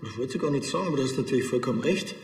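An actor playing a blunt, sardonic village policeman in a television crime comedy, deadpan and colloquial. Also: mid-range voice, rapid-fire, echoey room, a little hiss or hum, close to an everyday voice.

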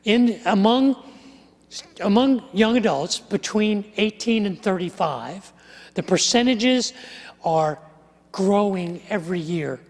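An older man preaching a sermon, walking through statistics with animated gestures and wry asides, on a headset mic on a large church stage. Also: high-pitched, slow. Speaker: pastor. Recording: live sermon with long hall reverb.

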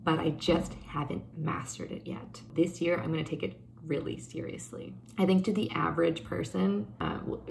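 A woman's voice: sits low, vocal fry, a little hiss or hum, low-quality recording. A young woman from Canada talking to her webcam in a bedroom, earnest and upbeat, gesturing as she reflects on her plans. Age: young adult. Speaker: vlogger. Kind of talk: casual talk to camera. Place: bedroom indoors.

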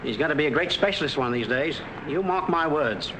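An actor playing a cheerful working-class middle-aged cab driver in a 1940s film, folksy and plain-spoken. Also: male voice, on the high side, expressive, brisk, close to an everyday voice. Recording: hissy 1940s film soundtrack.

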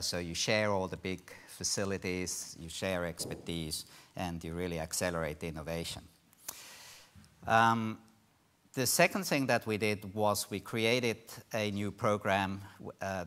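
An older man with a Swiss accent presenting on a conference panel, measured and full of ums and uhs. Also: deep voice, fairly bright tone. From Switzerland. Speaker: panelist, institute director. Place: conference hall stage.

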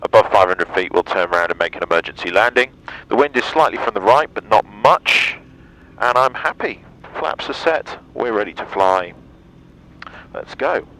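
A man's voice: fairly low pitch, expressive, moderate pace, sharp tone, low-quality recording. A man in his thirties with an English accent gives in-flight commentary in clipped procedural phrases with upbeat asides, through a headset in a small aircraft cockpit. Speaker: pilot and vlogger. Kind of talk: in-flight commentary and radio calls. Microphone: aviation headset. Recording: engine noise over aviation headset audio. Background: aircraft engine drone.

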